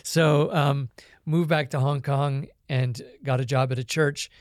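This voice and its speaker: A Canadian man, a podcast guest, telling his life story in a relaxed, reflective way with many small pauses. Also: medium pitch, no echo, clean studio sound. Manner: reflective storytelling with frequent ums. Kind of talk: conversational interview.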